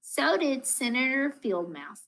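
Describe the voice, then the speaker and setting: Female storybook reader, school-educator read-aloud. Higher register, unhurried pace, rhythmic and expressive delivery of children's verse. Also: low-quality recording. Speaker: school math coach reading aloud.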